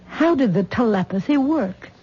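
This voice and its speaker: A woman's voice: on the high side, expressive, moderate pace, dark tone, husky. An actress playing a worried middle-aged mother who coaxes and frets over her grown daughter, in a 1970s radio drama. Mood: anxious. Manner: fretful, coaxing. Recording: dull old radio broadcast recording.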